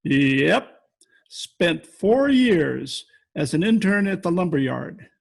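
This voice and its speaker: Croaky, high-pitched old-timer. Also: male voice, very animated, slow pace, heavily acted. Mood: folksy, joking. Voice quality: creaky, husky old voice. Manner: drawling, folksy, chuckling. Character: folksy teasing old-timer who tells corny jokes. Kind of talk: old-time radio comedy acting. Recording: home recording over video call.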